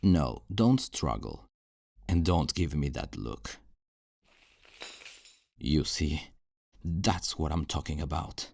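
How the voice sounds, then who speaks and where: A man's voice: very deep voice, expressive, slow pace, gravelly, long pauses, a little mellow, slight background noise, full character voice. A man playing a possessive, self-righteous kidnapper in an ASMR roleplay, speaking in a condescending, coaxing, menacingly tender way. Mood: condescending tenderness. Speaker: audio roleplay performer.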